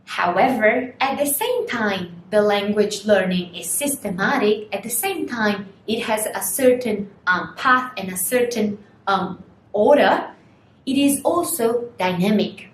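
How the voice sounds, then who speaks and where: A young woman whose first language is Portuguese gives a recorded university lecture, explaining slowly and putting rhetorical questions to students. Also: expressive. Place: small studio room in front of a screen. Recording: echoey room, faint rumble.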